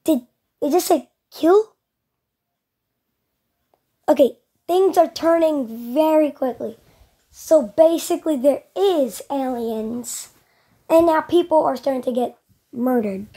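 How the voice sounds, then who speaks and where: Woman reacting to a video, high voice, takes her time, just musing casually. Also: slow pace, pauses often, warm tone. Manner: musing, explains own reasoning aloud.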